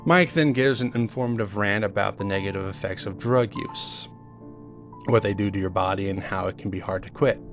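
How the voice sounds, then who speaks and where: Male horror narrator, fairly low register, conversational first-person retelling with halting, reminiscing delivery over faint music. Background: music under the voice.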